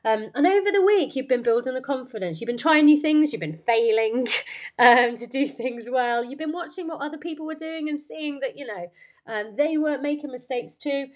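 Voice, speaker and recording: A woman's voice: high-pitched, lively intonation, normal speed. A woman hosting a podcast, talking through a motivational story in an unscripted, rambling way full of fillers and restarts. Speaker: podcast host.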